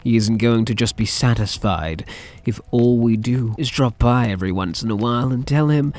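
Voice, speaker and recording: A man playing a soft, lovesick young mafia heir in an ASMR roleplay, speaking in a hesitant, tender, halting way. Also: mid-range voice, close to an everyday voice. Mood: hesitant, coaxing.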